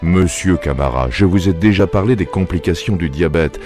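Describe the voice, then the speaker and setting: A man voicing a calm, instructive doctor and explainer in an educational cartoon, speaking in a measured way. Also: low-pitched, over music, natural voice. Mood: reassuring, explanatory. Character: calm, reassuring male doctor and health explainer. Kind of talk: educational cartoon narration and acting.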